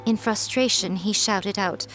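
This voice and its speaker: A woman's voice: medium pitch, unhurried, fairly bright tone, faint music behind, close to an everyday voice. A woman voicing a sly, confident fox shrine priestess in a video game, with an amused, teasing way of talking.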